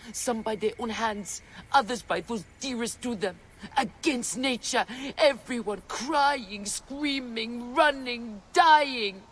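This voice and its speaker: An actress voicing an anguished, bitter old farm woman in a video game, husky and grave, like a storyteller. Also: a little high, slow pace. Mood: haunted. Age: older. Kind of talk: dramatic video game acting.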